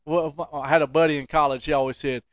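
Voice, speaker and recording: A man with a fairly high-pitched voice tells stories as a podcast co-host. He is colloquial and emphatic, repeating phrases for comic effect. The sound is dry, with very little room. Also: fairly even intonation, quick pace, dry recording. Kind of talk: casual comic storytelling.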